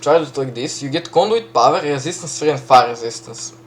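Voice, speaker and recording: A man with a Serbian accent casually walking through a game map he built, chatty, full of fillers and quick self-corrections. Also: medium pitch, expressive. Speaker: map creator giving a tour. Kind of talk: casual gameplay walkthrough.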